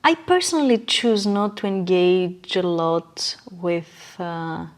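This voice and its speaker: A woman's voice: on the low side, slow pace. A woman speaking as a podcast guest in a reflective conversation, explaining her views earnestly. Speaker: podcast guest, business coach.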